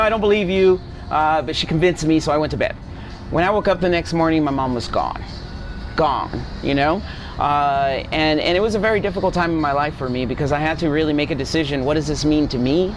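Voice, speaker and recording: Male guest, forty, from Guatemala, slightly high voice, earnest storyteller, clear traffic noise behind. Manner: earnest, seeks agreement with tag questions. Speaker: interview guest. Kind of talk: personal storytelling in casual interview. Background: street traffic and vehicles.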